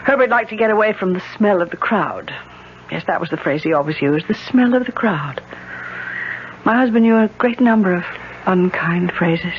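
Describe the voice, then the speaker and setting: An American actress playing a proud, bitter, rich middle-aged widow in a radio drama, speaking with precise, sardonic theatricality. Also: female voice, on the low side, moderate pace, natural voice. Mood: wry, reflective. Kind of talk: 1970s radio drama acting. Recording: dull, noisy 1970s radio recording.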